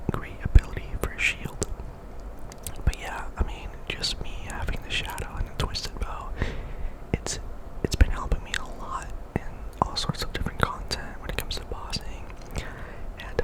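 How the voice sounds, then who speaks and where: Young male ASMR gaming host. Fully whispered, breathy delivery, casual and rambling, with repeated phrases. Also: poor audio.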